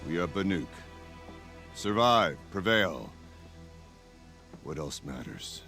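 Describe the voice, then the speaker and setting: Deep gravelly proud hunter chieftain. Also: male voice, expressive, slow pace, bright, forceful tone, faint music behind, heavily acted. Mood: solemn respect. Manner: solemn, formal, declamatory. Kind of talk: dramatic video game acting.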